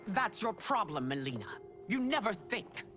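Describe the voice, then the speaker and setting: A woman's voice: medium pitch, sing-song, moderate pace, fairly bright tone. A woman playing a stern, regal empress and demanding mother in a fantasy game, with formal, commanding speech. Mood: cold, scolding.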